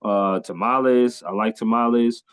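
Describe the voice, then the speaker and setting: A man's voice: medium pitch, fairly even intonation, measured pace, fairly bright tone, clear, resonant tone. A man from California hosting a podcast interview, talking in an enthusiastic way with lots of fillers and repeats. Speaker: podcast host. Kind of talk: casual interview chat.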